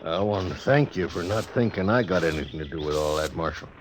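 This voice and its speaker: Male actor as taciturn frontier station keeper, deep American voice, lonely, resigned, old radio Western, faint noise. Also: brisk, natural voice. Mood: guarded. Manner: laconic, plainspoken. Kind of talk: 1950s Western radio drama acting.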